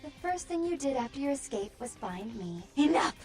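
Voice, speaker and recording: Two-faced vampire woman, high pitch, theatrical, menacing, video game drama, faint music. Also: very animated, moderate pace, darkish tone. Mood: bitter, grieving. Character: vampire woman with two warring personalities, stern and wild.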